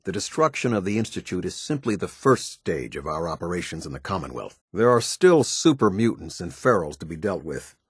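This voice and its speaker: An American man playing a stern, formal military officer in a video game, speaking with husky, clipped, measured authority. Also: sits low, very animated, no room sound, clean studio sound, exaggerated voice. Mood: measured, grave briefing. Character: stern, formal male military officer of a post-apocalyptic order. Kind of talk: video game character dialogue.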